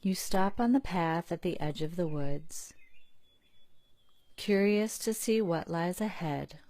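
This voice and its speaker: A woman leading a guided relaxation in a calm, soothing, unhurried manner with long pauses. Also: on the low side, dry recording. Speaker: guided meditation leader.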